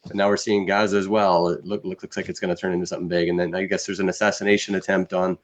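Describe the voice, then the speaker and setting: A man with a low-pitched voice who talks at a quick clip. He is co-hosting a podcast in a casual, conversational style. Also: little pitch movement. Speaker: podcast co-host.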